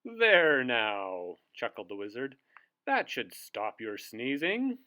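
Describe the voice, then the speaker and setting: A man's voice: medium pitch, wildly swooping pitch, slow pace, bright, forceful tone. A man reading a story aloud for young children as a storybook host. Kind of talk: animated children's read-aloud.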